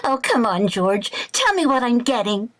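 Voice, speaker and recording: A woman's voice: a little high, big pitch swings, normal speed, fairly bright tone. A woman playing a playful, scheming, wheedling housewife in a 1940s-style radio comedy, coaxing and theatrical.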